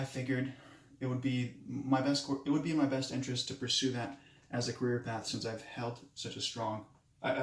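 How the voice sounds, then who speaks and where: Twenty-something guy from moldova, romanian first language, stumbling thru a class intro speech, mid pitch, echoey room. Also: a little mellow. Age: 20s. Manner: hesitant, halting, many restarts. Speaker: student.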